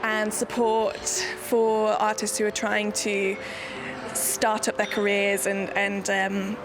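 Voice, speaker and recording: A woman's voice: medium pitch, completely flat delivery, slow pace, over music. A woman from Nottingham, a solo theatre maker, talking in a documentary interview in a chatty, self-deprecating way with many restarts. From Nottingham, England.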